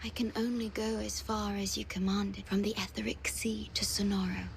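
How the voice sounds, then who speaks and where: Solemn game guardian, played by a woman: gentle, devoted, formal and breathy, medium pitch, roomy echo. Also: level tone, normal speed, slight background noise, natural voice. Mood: solemn, tender. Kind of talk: scripted video game cutscene acting.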